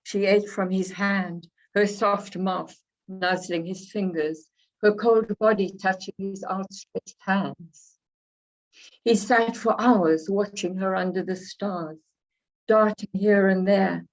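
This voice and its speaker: A woman from Africa, slightly hoarse from a cold, reading her poems and introducing them in a reflective, unhurried way. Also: mid-range voice, fairly even intonation. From South Africa. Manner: unhurried, reflective, pausing between phrases. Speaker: poet. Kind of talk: poetry reading with spoken introductions. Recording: dull lo-fi recording.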